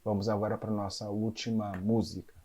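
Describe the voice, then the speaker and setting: A man's voice: lowish voice, little pitch movement, a little fast, dark tone. A man, a conductor and music teacher, explains a big band score in a casual, explanatory way and keeps checking in with his listeners. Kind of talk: explaining a score in an educational concert video.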